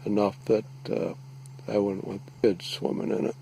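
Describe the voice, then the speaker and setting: A man giving a halting, deliberate, matter-of-fact informal field narration outdoors, recorded on a handheld device. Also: fairly low pitch, completely flat delivery, dark tone. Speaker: lake resident surveying an algae bloom. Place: outdoors, out on a small lake. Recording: faint outdoor background noise.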